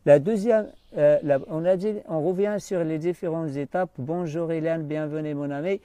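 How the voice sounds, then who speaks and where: A man speaks French as an on a coaching live stream. His mellow, dark voice is recorded close and dry. He talks unscripted and often pauses to hunt for the right word. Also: fairly high pitch, dry recording. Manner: hesitant, often searching for words.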